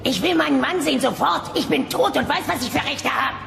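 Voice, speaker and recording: A woman's voice: sits high, a little fast, vocal fry, fairly bright tone, in a large hall, faint music behind, heavily acted. A woman playing a feisty, blunt old dinosaur grandmother in a German-dubbed comedy audio play. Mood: indignant. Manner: cranky, bossy, blunt.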